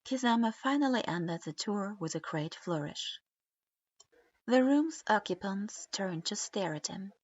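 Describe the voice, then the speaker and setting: A woman reads a story aloud at a slow, measured pace, her voice in a middle range. The recording is close and dry, with a dull, lo-fi quality. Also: medium pitch, lively intonation, dry recording. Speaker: reader. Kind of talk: reading fan fiction aloud.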